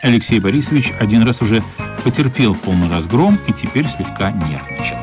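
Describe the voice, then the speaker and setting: An actor as the narrator of a children's radio play, reading scripted storybook prose. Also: male voice, on the low side, sing-song, brisk, over music. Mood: measured.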